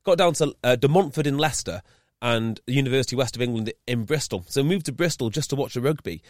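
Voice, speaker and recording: A young man from North Wales hosting an interview, chatty and quick to stumble over his words, his pitch jumping up when he gets going. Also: no echo, clean studio sound. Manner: chatty, stumbles and restarts, lively rises in pitch. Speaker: podcast host. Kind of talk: casual interview chat.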